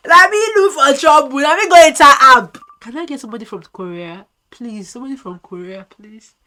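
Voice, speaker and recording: A young Nigerian woman with a Nigerian accent, chatting with strangers on a video app and reacting on her phone, loud and laughing. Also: high-pitched, fairly quick. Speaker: vlogger. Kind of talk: casual video chat commentary.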